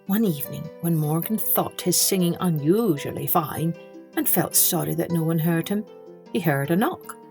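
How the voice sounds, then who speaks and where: A woman storyteller hosting for children, reading scripted tales with expressive, deliberate phrasing. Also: on the low side, creaky, music in the background. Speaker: storytelling podcast host.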